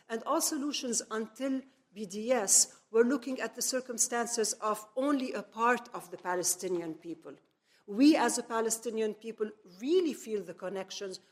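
A middle-aged woman from Lebanon giving a semi-improvised public lecture, phrasing deliberately and stressing key words. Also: a little high. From grew up in Lebanon. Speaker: speaker, activist lecturer.

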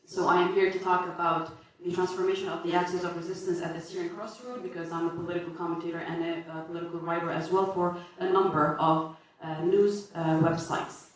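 A woman's voice: fairly low pitch, little pitch movement, far from the mic, slight background noise. Lebanese woman speaking in an echoey hall. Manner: halting, many fillers and self-corrections. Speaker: conference speaker. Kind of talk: academic paper presentation.

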